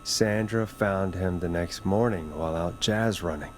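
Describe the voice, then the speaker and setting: Late-night radio host for a game: lowish voice, steady, earnest questioning, faint noise. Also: male voice, measured pace. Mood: challenging. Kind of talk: video game voice acting.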